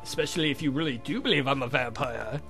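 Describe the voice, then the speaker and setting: A man voicing a sardonic, theatrical vampire count for a visual novel game, mocking and campy in a drawn-out way. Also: mid-range voice, gravelly, a bit bright, soft background music. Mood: taunting.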